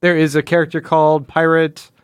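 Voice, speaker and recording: A man's voice: fairly high pitch, monotone, a little fast, clean tone, no echo, studio quality. A man co-hosting a podcast, talking casually through a comic book in a rambling, explanatory way. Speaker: podcast co-host.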